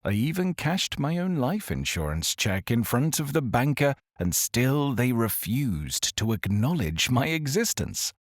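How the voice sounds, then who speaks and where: An actor playing an exiled male doctor who speaks in a calm, grave, formal monologue in a scripted audio drama. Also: medium pitch, no room sound, clean studio sound. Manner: measured, formal confessional address. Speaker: narrator character.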